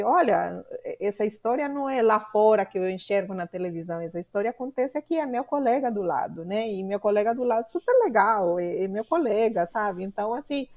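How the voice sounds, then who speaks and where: A middle-aged woman from Colombia with a Colombian Spanish accent, talking as a podcast guest in an animated way, often pausing with fillers to find her words. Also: mid-range voice. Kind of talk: conversational interview.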